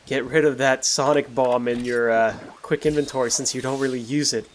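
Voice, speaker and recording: A man hosting a gameplay series with casual, chatty, reflective commentary that often pauses mid-sentence. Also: medium pitch, steady tone, slight background noise. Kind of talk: casual post-recorded game commentary. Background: music under the voice.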